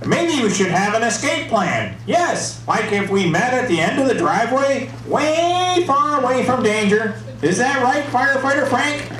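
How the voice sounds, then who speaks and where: Man playing an excitable high-pitched boy puppet, echoey hall. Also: a little slow, exaggerated voice. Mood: eager, curious. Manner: animated, questioning. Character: excitable young boy puppet, curious and eager to learn. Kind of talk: scripted children's puppet show. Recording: echoey hall, distant mic, faint noise.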